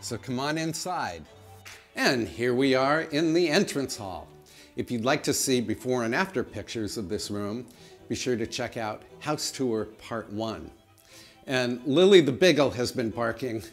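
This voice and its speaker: A man's voice: medium pitch, lively intonation, a little slow, soft background music. A middle-aged man chatting casually to the camera as he gives a tour of his large old house. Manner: chatty, friendly tour-guide delivery. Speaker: home vlogger giving a house tour. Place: large old house with high-ceilinged rooms, indoors.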